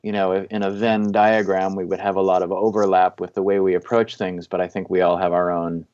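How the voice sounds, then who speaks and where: A man's voice: sits low, flat delivery, fairly quick, a little mellow, dull audio. A man hosting a podcast, asking long, rambling questions in casual talk. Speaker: podcast host.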